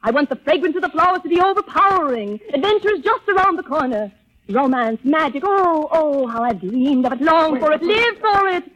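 An actress playing a passionate, proud, temperamental opera diva in a theatrical, imperious 1930s radio drama style. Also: female voice, sits high, animated, a little fast, a bit bright. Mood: excited, defiant. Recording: muffled old 1930s radio recording.

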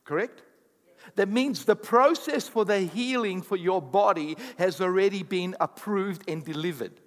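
High-voiced emphatic preacher man in a hall. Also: unhurried. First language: not English. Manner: emphatic preaching with rising bursts and asides. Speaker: pastor. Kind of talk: sermon.

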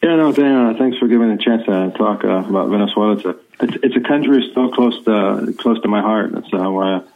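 An American man in his forties, a journalist guest, speaking in a rambling, halting way with many fillers. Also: on the low side. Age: 40s. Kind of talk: remote interview. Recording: tinny phone line.

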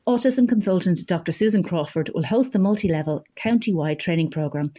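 A woman reads local community radio news from a script. Her voice sits fairly low and has a soft, mellow tone with very little edge.

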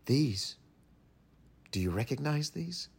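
A woman's voice: extremely low pitch, lively intonation, takes it slowly, vocal fry, darkish tone, slightly rough, dry recording, clean studio sound, heavily acted. A woman playing a serious, bewildered traveller in a fantasy audio story. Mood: confused, urgent.

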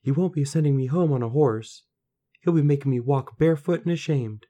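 A man voicing a gentle, anxious young peasant girl with a plaintive, pleading manner, for a storybook. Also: medium pitch, big pitch swings, a little fast, voice pushed up, natural voice. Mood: despairing. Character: young, gentle, anxious poor weaver's daughter.

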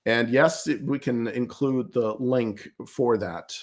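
A man's voice: mid-range voice, takes it slowly. Emphatic man. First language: German. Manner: emphatic, argumentative, often repeats words as he searches. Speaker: guest commentator. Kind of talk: interview commentary.

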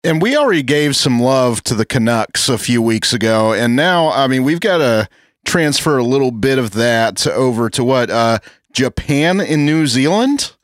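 A man co-hosting a casual podcast, joking and a little halting. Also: medium pitch, expressive, no room sound, studio quality. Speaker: podcast co-host.

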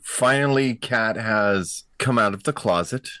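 A man's voice: lowish voice, a little slow, pushed and bright. A man playing a tabletop roleplaying game, describing his character in improvised narration.

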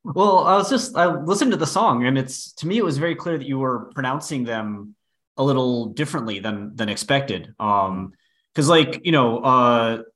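Relaxed male podcast co-host. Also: mid-range voice, moderate pace. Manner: relaxed, conversational, uses fillers like "you know". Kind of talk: casual interview questions.

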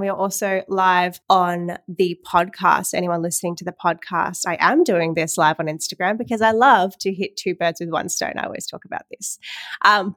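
A woman's voice: medium pitch, a bit bright, dry recording. A young woman, a women's empowerment coach, hosts her own podcast in a casual, earnest solo chat, sounding slightly nasal. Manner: chatty, earnest, loose with fillers and restarts. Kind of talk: casual solo podcast talk, streamed live.

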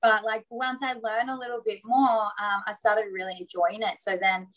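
An Australian woman answering as a guest in a casual interview, relaxed and rambling, filling her talk with "like". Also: mid-range voice, moderate pace, low-quality recording. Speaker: boxer, guest.

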